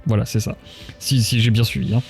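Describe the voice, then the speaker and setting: A man's voice: medium pitch, level tone, brisk, darkish tone, faint music behind. A man hosting a casual live-stream interview in an informal, joking way. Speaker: interview host.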